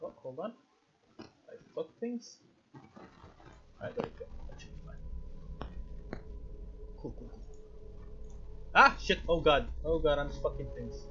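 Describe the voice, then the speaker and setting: A man's voice: a little high, very animated, measured pace, pauses often, muffled recording, background noise. A man doing a let's play of a visual novel, reading the text aloud and breaking off with jokey, sweary reactions. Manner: sweary, jokey exclamations between read-aloud passages. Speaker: gaming video host.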